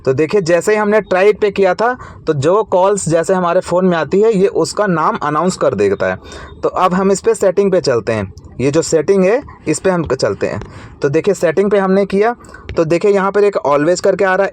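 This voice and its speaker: Indian guy doing a phone how-to, pretty high voice, repeats himself as he explains. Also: expressive, normal speed, darkish tone. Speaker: tech tutorial host. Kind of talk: informal step-by-step phone tutorial narration.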